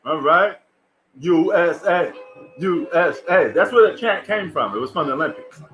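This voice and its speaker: Chill new dude streaming from home, slangy, kinda high voice. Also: male voice, lively intonation, moderate pace, a bit bright, highs cut off, a little hiss or hum. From New York. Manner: laid-back, slangy, chatty. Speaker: live stream host. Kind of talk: live stream reaction commentary.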